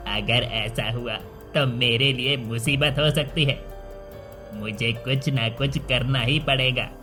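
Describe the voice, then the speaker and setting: A man acts out a sly, greedy minister of a royal court in a cartoon tale. His voice is forceful and sharp, servile on the surface and conniving underneath, with music playing behind it. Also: medium pitch, steady tone, slow. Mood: scheming. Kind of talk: Hindi cartoon story acting.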